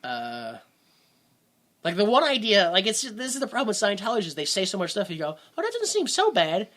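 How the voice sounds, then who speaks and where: A man's voice: sits high, wildly swooping pitch, a little fast, fairly bright tone. A man co-hosting a casual movie podcast, rambling with sarcastic asides. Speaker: podcast co-host.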